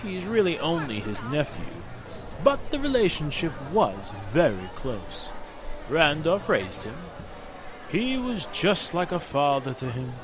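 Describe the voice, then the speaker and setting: A man's voice: fairly high pitch, wildly swooping pitch. An actor playing a grave, formal older businessman in a mournful 1940s radio drama style. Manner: measured, formal, deliberate. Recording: music and crowd murmur behind the voice.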